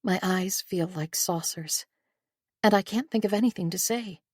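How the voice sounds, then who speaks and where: A young adult woman narrating an audiobook in the first person, reflective and even, on a close studio mic. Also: mid-range voice, normal speed, slightly rough, no room sound.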